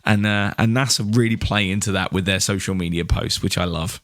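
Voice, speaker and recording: A man's voice: low-pitched, steady tone, a little fast, fairly bright tone, no room sound, clean studio sound. A man co-hosting a podcast, presenting news in a conversational style. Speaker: podcast co-host.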